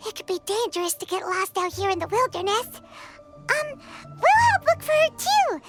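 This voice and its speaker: A woman voicing a tiny, excitable female fairy companion in a game, talking in a bouncy, eager sing-song. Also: extremely high pitch, moderate pace, loud music behind the voice.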